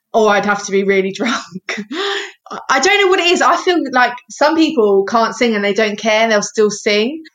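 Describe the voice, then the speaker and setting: Woman in her thirties, chatty guest, warm and self-mocking. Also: medium pitch. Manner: warm, giggly, self-deprecating. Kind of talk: casual chat.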